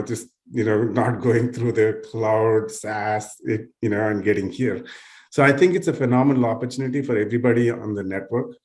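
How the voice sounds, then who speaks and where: A man with a fairly low voice, talking on a panel as a nonprofit's tech lead. He wanders through his points with lots of fillers, circling back as he goes. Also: a little mellow. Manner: loose, searching phrasing with many fillers. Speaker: tech leader at a nonprofit partner. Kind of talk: panel discussion.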